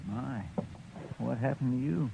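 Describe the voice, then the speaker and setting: A stammering, deferential frontier deputy. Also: male voice, mid-range voice, a little slow, gravelly, noisy background. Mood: anxious. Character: loyal, bashful frontier deputy, young-ish man. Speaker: actor. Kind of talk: 1950s radio drama acting. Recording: dull vintage 1950s radio recording.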